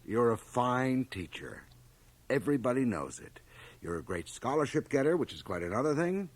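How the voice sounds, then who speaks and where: An actor playing a blustering board chairman of about fifty in a radio drama, with an emphatic, sometimes halting delivery. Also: medium pitch, sing-song. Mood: firm, placating. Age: middle-aged. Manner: emphatic, hesitant then blustering. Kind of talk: 1980 radio drama acting. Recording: home cassette transfer of radio broadcast.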